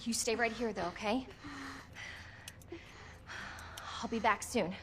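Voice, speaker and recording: A woman's voice: a little high, fairly quick, background noise, lightly acted. An actress playing a plucky, protective young woman in a video game, casual and reassuring. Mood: focused, hushed. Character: plucky, protective young woman survivor, warm toward child. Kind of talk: video game cutscene acting. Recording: music behind the voice.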